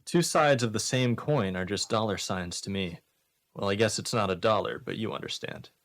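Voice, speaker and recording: Low-voiced man hosting a joke segment. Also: normal speed. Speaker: host of a comedy radio variety show. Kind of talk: reading out written one-liner jokes with casual banter.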